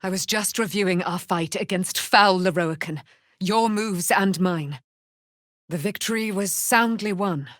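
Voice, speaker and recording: Actress doing a proud fierce immortal knight lady, slow grand speeches, lowish voice, dry video game sound. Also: no room sound, lightly acted. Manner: formal, declamatory, archaic phrasing. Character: proud immortal warrior woman, righteous, grandiose, fierce and vengeful. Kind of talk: dramatic video game voice acting.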